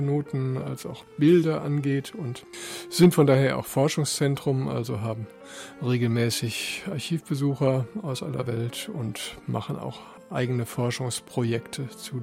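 A middle-aged museum director talks fluently and eagerly about his institution. His voice is mellow and sits at a mid pitch. Faint music runs softly behind him. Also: fairly even intonation, measured pace, vocal fry. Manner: fluent, enthusiastic explaining. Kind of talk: interview answers.

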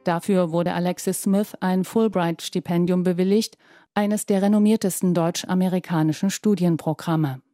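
A woman's voice: lowish voice, steady tone, normal speed, dark tone, dry recording, studio quality, soft background music. A woman reporter reading scripted narration for a news feature.

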